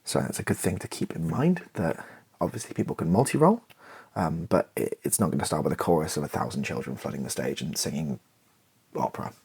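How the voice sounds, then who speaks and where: A British man doing casual, improvised ASMR, thinking aloud in hesitant fragments with a soft, breathy, partly whispered voice. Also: a bass voice, animated, fairly quick. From Britain. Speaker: ASMR video creator thinking aloud while writing.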